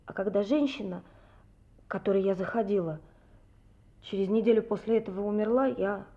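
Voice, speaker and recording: A woman's voice: medium pitch, fairly quick, dark tone, dull audio, natural voice. An actress playing a young working woman, an uneasy but candid witness in an audio drama.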